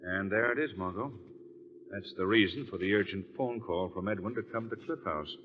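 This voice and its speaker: An actor playing a thoughtful, composed gentleman sleuth in an old radio mystery, measured and courteous. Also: fairly low pitch, close to an everyday voice. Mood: pensive. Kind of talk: old-time radio mystery drama acting. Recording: muffled old radio, faint hum.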